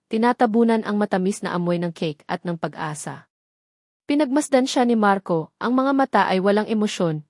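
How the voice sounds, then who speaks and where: A woman's voice: medium pitch, darkish tone, dry recording, clean studio sound. A woman narrating a scripted dramatic story in a solemn, melodramatic manner.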